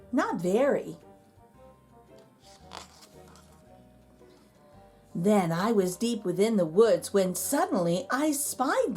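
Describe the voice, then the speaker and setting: An easily spooked storybook narrator , acted out by a woman, tells a rhyming tale in a high, swooping voice. The delivery is slow and suspenseful, drawing words out for drama while insisting on being brave. Soft music accompanies it. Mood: uneasy suspense. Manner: suspenseful, dramatic, drawn-out emphasis. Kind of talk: rhyming picture-book storytelling.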